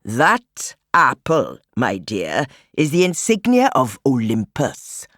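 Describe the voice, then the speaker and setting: A woman's voice: rumbling low, wildly swooping pitch, slow, no echo, clean studio sound, exaggerated voice. Woman voicing a theatrical, genteel old man. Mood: grand, explanatory. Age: seventies. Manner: precise, fussy, flamboyant, old-fashioned courteous phrasing. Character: spry, theatrical, grandfatherly immortal old man, genteel godfather. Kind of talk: children's audiobook character voice.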